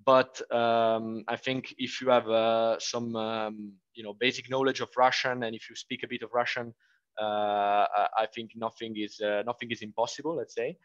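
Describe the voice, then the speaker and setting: A man's voice: mid-range voice, little pitch movement, moderate pace, dry recording, muffled recording. A young man from Italy with an Italian accent, answering interview questions casually and hesitantly, with many fillers and restarts. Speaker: former international student.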